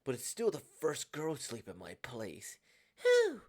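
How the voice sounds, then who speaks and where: Man playing a flustered, excitable young man, swooping pitch. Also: measured pace. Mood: nervous. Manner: loud, excitable, stammering. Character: flustered, eager, awkward young man in love.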